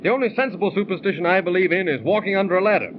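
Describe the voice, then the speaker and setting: A man's voice: high-pitched, rapid-fire, close to an everyday voice. An actor playing a breezy, wisecracking man in a 1930s radio drama, on a dull, noisy old recording. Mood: breezy, joking. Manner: jaunty, wisecracking. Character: breezy, joking, sceptical man telling his tale.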